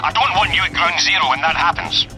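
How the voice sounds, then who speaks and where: Forceful, high-pitched male spymaster. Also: fast, soft background music, low-quality recording. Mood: worried, serious. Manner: commanding, earnest. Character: stern, concerned male spymaster commanding by radio. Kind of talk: video game cutscene acting.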